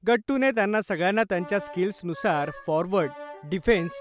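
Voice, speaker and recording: A man reads a scripted story for children in the role of narrator. His voice is notably high in pitch for a man. Music plays clearly underneath the narration. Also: quick pace. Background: background music.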